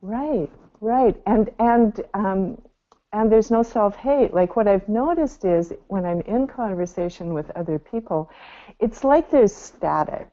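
Mellow, thoughtful woman on a muffled call. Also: mid-range voice. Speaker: caller. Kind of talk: reflective, conversational sharing. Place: remote call to a live show. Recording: dull, lo-fi phone call.

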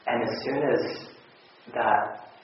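Male group participant, low voice, searching and reflective. Distant, muffled recording in a reverberant room. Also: steady tone, slow. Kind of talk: reflective personal sharing. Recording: very echoey room, distant muffled mic, faint noise.

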